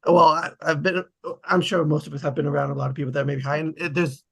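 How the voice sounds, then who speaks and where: Husky, creaky-voiced man, higher pitched. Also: steady tone, fast talker, fairly bright tone. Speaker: table read participant. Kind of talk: casual group discussion of a script.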